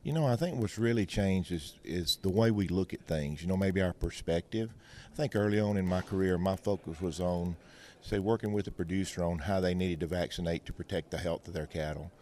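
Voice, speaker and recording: A man's voice: sits low, fairly quick, a little mellow. A middle-aged man with a Mississippi Southern accent, explaining things in a folksy, plain-spoken way as an interview guest and veterinarian. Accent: Mississippi Southern American. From Mississippi, United States. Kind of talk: explanatory interview talk with anecdotes and analogies.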